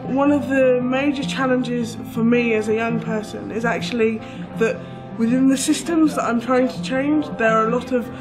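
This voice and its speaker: A young activist giving a measured interview answer. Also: high voice, poor audio. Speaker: youth activist.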